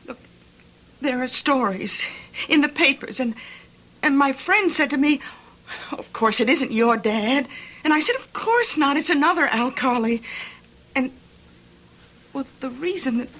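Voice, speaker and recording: An actress playing an earnest, adoring college-age daughter, halting and emotional, in a radio drama. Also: female voice, high voice, expressive, fairly bright tone. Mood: hesitant, troubled. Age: young adult. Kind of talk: old-time radio drama acting.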